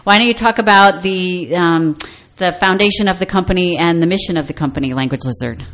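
A woman's voice: fairly low pitch, brisk, fairly bright tone. A woman hosting a conversational radio interview in an upbeat, encouraging way. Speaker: radio show host.

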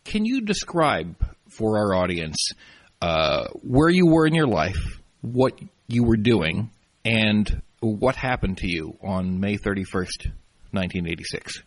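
A man's voice: fairly low pitch, big pitch swings, unhurried, no echo. A man hosting a radio interview, asking questions in a hesitant, stumbling way. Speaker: radio host.

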